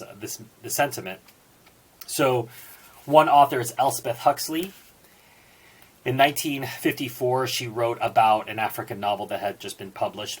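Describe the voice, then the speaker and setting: A man with a slightly husky voice and a medium pitch talks through a book review. He is measured and reflective, leaning on key words and leaving pauses between thoughts. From born in Singapore, United States citizen. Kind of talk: book review talk with read-out quotations.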